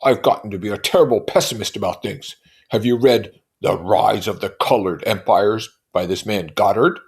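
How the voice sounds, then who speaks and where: A man's voice: fairly low pitch, normal speed, voice pushed up, close to an everyday voice. A man voicing a pompous, irritable, bullying rich husband from a novel in an emphatic, blustering manner.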